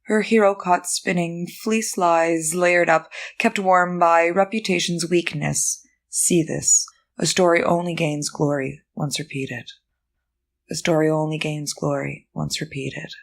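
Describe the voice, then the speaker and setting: Slow, low-voiced woman reciting poetry. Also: steady tone, creaky. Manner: deliberate, short pausing phrases. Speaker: poetry reader.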